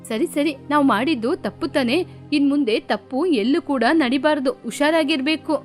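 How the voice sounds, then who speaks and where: A woman's voice: sits high, moderate pace, natural voice. A woman voicing a stern but caring female bird mother who scolds her daughters. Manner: stern, firm. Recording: faint music behind.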